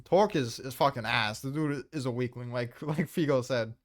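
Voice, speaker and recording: A man's voice: medium pitch, moderate pace. A man hosting a casual debate, speaking bluntly with slang and swearing. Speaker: host presenting his tier list.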